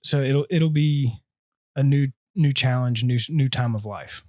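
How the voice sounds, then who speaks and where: A man's voice: mid-range voice, darkish tone, dry recording, clean studio sound. Easygoing young man from North Carolina. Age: 20s. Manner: easygoing, halting with restarts. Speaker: guest, aspiring missionary. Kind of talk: casual interview answers.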